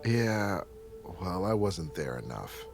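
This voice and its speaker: Guy acting regretful lonely man in a drama, really slow and halting, music behind him. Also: low voice, sing-song, creaky, full character voice. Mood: regretful, anguished. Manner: halting, sighing, wistful. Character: regretful, lonely man looking back on lost time.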